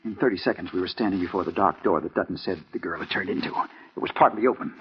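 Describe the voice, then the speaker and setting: A man's voice: low-pitched, fast talker, close to an everyday voice. An actor playing a driven, hard-boiled male investigator in a vintage radio noir drama, urgent and clipped, telling his own story. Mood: brooding, intense. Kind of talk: 1950s radio noir acting with first-person narration. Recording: noisy, muffled vintage radio recording.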